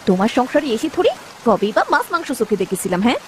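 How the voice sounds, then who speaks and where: Woman acting a quick, nagging village wife. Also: fairly high pitch, very animated, slight background noise. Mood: scolding, sarcastic. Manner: scolding, sarcastic, complaining. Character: nagging, sharp-tongued poor village wife and mother. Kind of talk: dramatic cartoon story dub.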